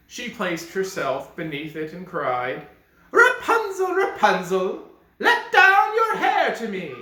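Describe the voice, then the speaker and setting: A man narrating a fairy tale as a storyteller, with expressive, dramatic and deliberate phrasing. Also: fairly high pitch. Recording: echoey, roomy sound.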